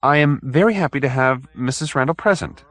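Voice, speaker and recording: A man voicing a timid, formal little gentleman in an audiobook, fussy, hesitant and over-polite. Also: mid-range voice, brisk, dry recording, pitched above the performer's own voice, natural voice. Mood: nervous, polite.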